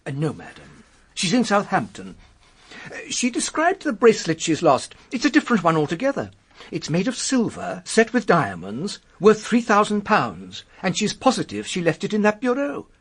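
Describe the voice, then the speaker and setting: Deferential official, husky voice, lively pitch, hesitant, polite, flustered, formal, radio mystery. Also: male voice, moderate pace, exaggerated voice. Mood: apologetic, earnest. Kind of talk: British radio mystery drama.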